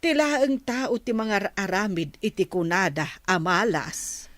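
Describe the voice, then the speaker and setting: A woman playing a calm older mother, slow. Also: medium pitch, no echo. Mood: steady counsel. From Philippines. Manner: calm, gently preaching. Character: patient older mother giving moral advice. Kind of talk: audio drama acting.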